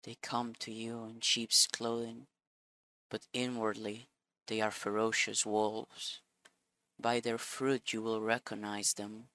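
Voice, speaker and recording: A man's voice: on the low side, little pitch movement, takes it slowly, bright, forceful tone, dry recording. A man hosting a Bible video who reads a scripture passage and then talks it over casually and earnestly, a bit sniffly and often searching for his words.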